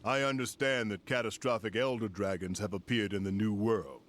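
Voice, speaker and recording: An actor playing a grave older general in a video game cutscene, speaking formally and with solemn, measured weight. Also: male voice, lowish voice, steady tone, slight background noise, lightly acted.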